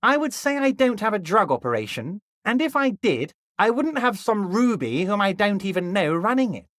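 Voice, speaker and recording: Wily old union boss for a video game, folksy n smug, real sing-song delivery, super dry recording. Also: male voice, high-pitched, sing-song, fairly bright tone, no echo. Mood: coyly evasive. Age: older. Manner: folksy, insinuating, mock-modest, drawn-out emphasis. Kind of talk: video game character dialogue.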